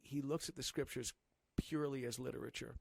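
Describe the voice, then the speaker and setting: A man co-hosting a casual podcast chat, talking in halting bursts with many restarts and fillers. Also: medium pitch, fairly even intonation, gravelly, background noise. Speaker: podcast co-host.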